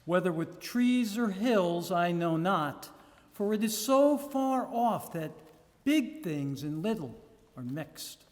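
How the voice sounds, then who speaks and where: A man reads a gothic journal aloud in a theatrical, measured way with dramatic pauses. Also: high voice, lively intonation, darkish tone. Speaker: reader. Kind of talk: dramatic live reading of a gothic novel journal. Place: live public reading in a reverberant room. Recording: echoey hall with long reverb.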